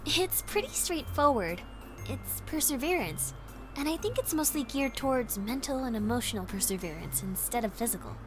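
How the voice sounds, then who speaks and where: A woman's voice: a little high, very animated, normal speed, music in the background, low-quality recording. An actress playing a self-assured, teasing young woman student in a visual novel game, with neat, composed, girlish delivery. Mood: earnest.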